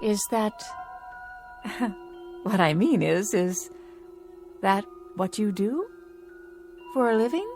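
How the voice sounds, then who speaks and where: A middle-aged widowed landlady in a radio play. The delivery is folksy, huffy and prying, with a very animated pitch at a medium height. Faint music sits in the recording. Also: slow, long pauses. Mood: suspicious, uneasy. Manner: folksy, huffy, plain-spoken. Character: nosy, indignant fifty-four-year-old widowed landlady. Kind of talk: radio drama acting.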